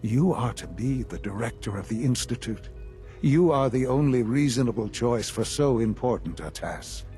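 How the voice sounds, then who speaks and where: A man playing a composed, authoritative senior scientist in a video game, speaking in a measured, formal way. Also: medium pitch, lively intonation, warm tone, soft background music, close to an everyday voice. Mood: calm, assured.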